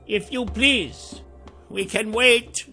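A prim, irritable old wizard, as a narrator puts him on, raised well above the performer's natural pitch and held a bit back from the mic. He speaks precisely, with a gravelly texture and unhurried pace. Faint music runs underneath. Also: pushed and bright, heavily acted. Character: fussy, irritable elder wizard man.

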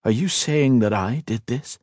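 A man's voice: mid-range voice, sing-song, takes it slowly, dry recording, clean studio sound, pitched above the performer's own voice. A man voicing an angry, defiant young male hero who speaks in curt, tense bursts.

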